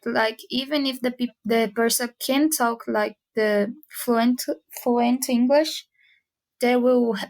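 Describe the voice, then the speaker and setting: A woman from Brazil, a student guest on a podcast, speaking English with a Brazilian accent and pausing to find her words. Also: on the high side, poor audio. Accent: Brazilian Portuguese. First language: Portuguese. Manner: searching for words, halting. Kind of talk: casual podcast chat.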